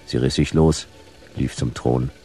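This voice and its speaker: An actor playing a laconic, sardonic private detective who narrates a German sci-fi radio play in clipped phrases with a gravelly voice. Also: male voice, a bass voice, steady tone, measured pace, warm tone. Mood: wry, observant. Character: hard-boiled sci-fi private detective narrating, dry, wry. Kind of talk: noir first-person radio play narration.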